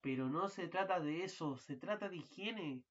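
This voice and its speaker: A man's voice: a little high, moderate pace, breathy edge, lo-fi sound. A young man, a student actor, playing a fussy, weary husband who grows sinister in an amateur radio drama. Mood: irritable. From Chile. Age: young adult.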